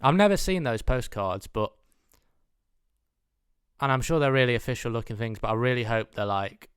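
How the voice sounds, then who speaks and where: A man's voice: mid-range voice, normal speed, smooth, no echo, studio quality. A man in his mid twenties with a British accent, giving a rambling, dryly mock-earnest comic monologue. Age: mid 20s. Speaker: comedian, host of own show. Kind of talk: comedic monologue.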